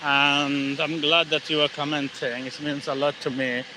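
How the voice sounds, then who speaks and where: A man with a Bangladeshi English accent talking casually on a live stream. He speaks haltingly, with many fillers and restarts, while walking outdoors in the evening. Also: fairly high pitch, flat delivery, noisy background. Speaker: channel host, English learner.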